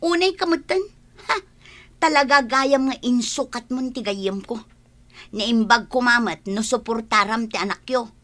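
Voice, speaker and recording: A woman acts a confrontational friend in a village radio drama. She is loyal and meddling, with a raised, bright voice that has an indignant edge. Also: a little high, unhurried. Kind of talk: Ilocano radio drama acting.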